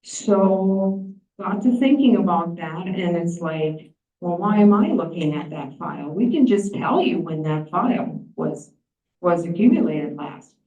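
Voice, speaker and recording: Woman presenter, mellow tone, distant mic in an echoey room with dull sound. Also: fairly low pitch, expressive, measured pace. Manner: plain-spoken, explanatory. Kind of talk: meeting presentation. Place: large meeting room.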